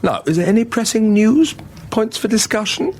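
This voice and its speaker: An actor with an upper-class English accent playing a pompous, oblivious older museum director in a radio sitcom, with theatrical, old-fashioned politeness. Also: male voice, sits high, expressive, normal speed, darkish tone, a little hiss or hum. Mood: breezy.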